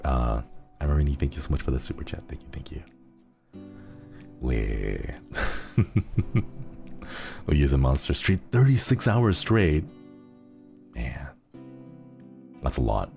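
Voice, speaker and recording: Male streamer, rock-bottom voice with heavy fry, easygoing rambling chat, laughs a lot. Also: very deep voice, darkish tone. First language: Xenokuni (in-fiction language). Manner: rambling and easygoing, giggles often. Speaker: livestreamer. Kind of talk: casual stream chat, reading viewer messages.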